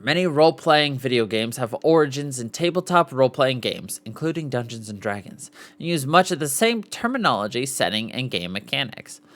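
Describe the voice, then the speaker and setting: A man's voice: medium pitch, very animated, a little fast, few pauses, fairly bright tone. A man hosting a casual live podcast, reading aloud and sometimes stumbling over the words. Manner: stumbles over foreign names, sounding them out. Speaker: podcast host.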